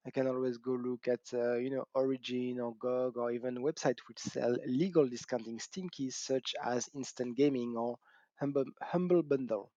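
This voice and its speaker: A middle-aged man with a French accent hosts his gaming channel in a chatty, self-mocking way, laughing and whooping between points. His voice is mellow and warm. He's recorded on a dull cheap mic in a dry room. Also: mid-range voice, fairly even intonation, normal speed, no room sound. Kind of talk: casual talk to camera.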